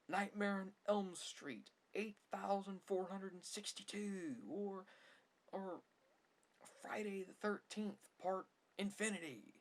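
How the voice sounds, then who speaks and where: A soft-spoken ASMR creator giving rambling, opinionated, mildly sarcastic film commentary, at times dropping to a whisper. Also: medium pitch, gravelly.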